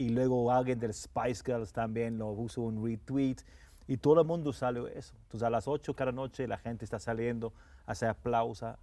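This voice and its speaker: A man's voice: medium pitch, warm tone, dry recording. British guy, english native, journalist on a panel, dry sound, casual. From Britain. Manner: casual, searching for words. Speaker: journalist guest. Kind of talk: panel discussion.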